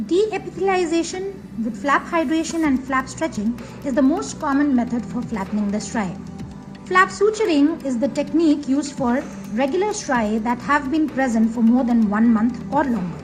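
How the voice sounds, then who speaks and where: Indian english lady reading a clinical voice-over, steady careful delivery. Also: high-pitched. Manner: slow, even reading of a prepared script. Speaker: narrator of a recorded medical video. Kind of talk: scripted medical narration. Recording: voice-over with background music.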